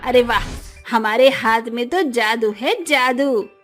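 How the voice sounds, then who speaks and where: A woman voicing excitable, bossy women of a family in a cartoon comedy, emphatic and exclaiming. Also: fairly high pitch, slow, faint music behind. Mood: excited. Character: bossy, excitable mother-in-law and daughter-in-law obsessed with street snacks.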